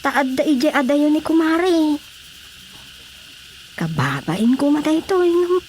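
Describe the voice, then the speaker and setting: A very high-voiced, slow-talking distressed wife in a radio drama comedy. She speaks with a Filipino accent, halting and whimpering as she pleads for help. Faint noise sits behind the voice. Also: animated, a little mellow. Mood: hesitant, embarrassed. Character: distressed wife seeking help, hesitant and tearful.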